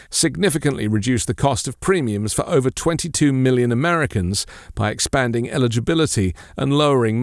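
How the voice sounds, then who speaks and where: A man reading scripted news as a presenter, with a formal, measured delivery. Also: medium pitch, no room sound, clean studio sound.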